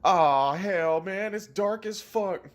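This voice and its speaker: A man's voice: high-pitched, takes it slowly, voice pushed up. A man playing a reluctant, fed-up journalist from a horror game in a comedy sketch, grumbling and swearing. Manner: grumbling, profane, slangy.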